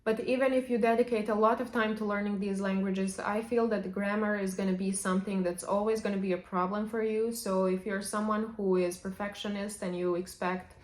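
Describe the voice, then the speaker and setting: A woman's voice: mid-range voice, fairly even intonation, a little fast, few pauses. Woman w/ giving language tips to camera, chatty, keeps saying however, echoey room. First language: Serbian. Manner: chatty, opens many sentences with "however". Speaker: language vlogger. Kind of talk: casual advice to camera.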